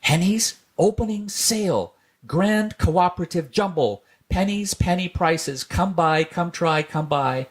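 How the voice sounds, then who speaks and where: Hen shopkeeper, played by a man: raised pitch, sing-song market hawking for a children's tale. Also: measured pace. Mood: enticing, excited. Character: hen shopkeeper's sale poster, crying out bargains. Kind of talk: children's storybook reading.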